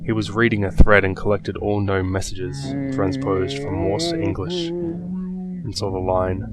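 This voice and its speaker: A man with a low voice narrates a scripted horror story. He explains things in a measured way. Plucked guitar music plays clearly behind him. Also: lively intonation.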